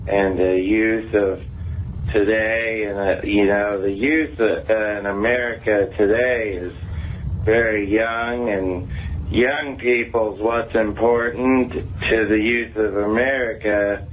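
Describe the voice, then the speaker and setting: A man playing a dim, halting phone caller who rambles and repeats himself in circles. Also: lowish voice, steady tone. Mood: muddled. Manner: halting, repetitive, muddled. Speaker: prank caller. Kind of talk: call-in prank call. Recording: muffled phone call, faint noise.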